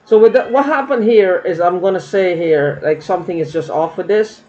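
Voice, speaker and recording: A woman's voice: on the low side, normal speed, mellow. A woman vlogging in a rambling, aggrieved monologue, circling back and repeating herself. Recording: dull lo-fi recording.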